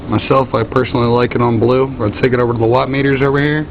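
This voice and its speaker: A man's voice: mid-range voice, level tone, quick pace. Man demonstrating gear, muffled noisy recording. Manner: folksy trucker slang. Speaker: CB radio seller demonstrating a radio. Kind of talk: casual product demonstration. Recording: muffled, loud noisy radio hiss.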